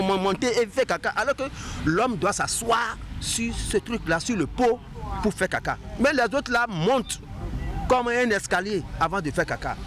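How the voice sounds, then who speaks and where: A man from Ghana answering a street interview outdoors, animated and emphatic, repeating words. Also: high-pitched, noisy background.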